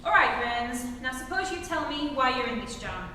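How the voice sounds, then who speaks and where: A woman plays an exasperated police lieutenant in a 1940s radio comedy. The voice is high, forceful and curt. It comes across a very echoey hall from some way off. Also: very animated, moderate pace, heavily acted. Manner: barking, impatient. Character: brusque, exasperated police lieutenant grilling a suspect. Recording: echoey hall, distant mic, faint noise.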